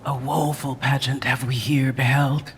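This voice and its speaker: Slow, solemn conspiring clergyman. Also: mid-range voice, flat delivery, fairly bright tone, big-room sound. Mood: solemn, conspiratorial. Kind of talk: Shakespearean radio drama acting.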